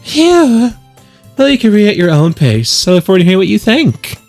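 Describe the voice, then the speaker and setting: A man's voice: sits high, sing-song, brisk, darkish tone, soft background music, pitched above the performer's own voice. A man voicing a shy, flustered, bookish teenage schoolgirl who speaks hesitantly in a visual novel. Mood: relieved. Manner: hesitant, trailing off. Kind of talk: visual novel character read-aloud.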